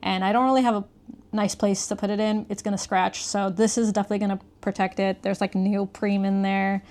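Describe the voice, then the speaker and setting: A woman's voice: mid-range voice, level tone, brisk, a little mellow. A woman giving a casual, conversational product review, explaining things as she goes.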